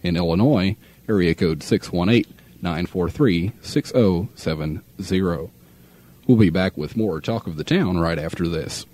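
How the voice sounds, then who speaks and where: A young American man reading local radio announcements in a measured, formal announcer manner, on an old aircheck tape. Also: low-pitched, dark tone, a little hiss or hum. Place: radio studio.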